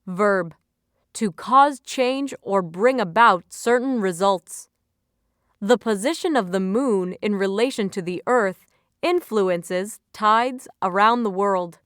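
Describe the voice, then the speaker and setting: A woman reading scripted vocabulary entries and example sentences as an educational narrator, enunciating carefully in a measured way. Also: medium pitch, a bit bright, smooth, no room sound, clean studio sound.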